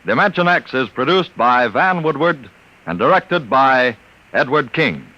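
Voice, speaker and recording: Polished, bright old radio announcer. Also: male voice, mid-range voice, expressive, measured pace. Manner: polished, promotional announcer delivery. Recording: dull, noisy old radio broadcast.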